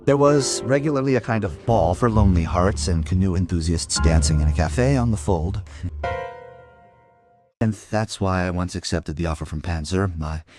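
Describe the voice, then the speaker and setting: A man's voice: sits low, moderate pace, over music, lightly acted. A man playing a middle-aged homicide inspector who narrates a crime audio drama in a wry, musing, literary manner.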